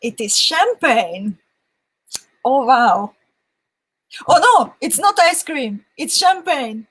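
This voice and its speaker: A woman's voice: sits high, big pitch swings, slow, a bit bright, husky. A young woman with a Hungarian accent chatting on a live stream, thinking aloud with restarts and reading out viewer comments. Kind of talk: casual live-stream chat.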